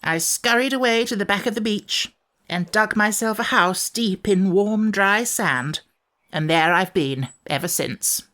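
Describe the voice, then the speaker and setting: A woman's voice: mid-range voice, a bit bright. A woman voicing a grumpy, ancient and fussy sand fairy creature for a children's audiobook, in a gloomy, peevish storyteller's way. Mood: self-pitying.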